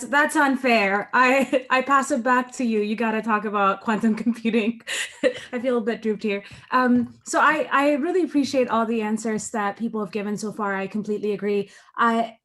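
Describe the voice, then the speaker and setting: A woman's voice: a little high, fairly bright tone. A woman from Ethiopia, a researcher speaking as a panelist in an online discussion over a video call, earnest and conversational, often checking in with "right?".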